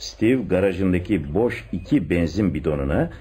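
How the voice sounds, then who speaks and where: An actor playing a confident police chief inspector in a radio play, with an expressive, deliberate theatrical delivery. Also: male voice, low-pitched. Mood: confident, reasoning. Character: male police chief inspector, secretly in love, confident theorist. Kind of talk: Turkish radio theatre acting.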